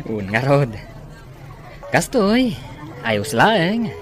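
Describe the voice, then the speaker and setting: A man playing a cheery, slow-talking Ilocano man. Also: fairly high pitch, huge pitch swings, some chatter behind, full character voice. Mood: cheerful. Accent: Ilocano Filipino. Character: cheery, casual man meeting old friend. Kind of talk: Ilocano radio comedy drama acting.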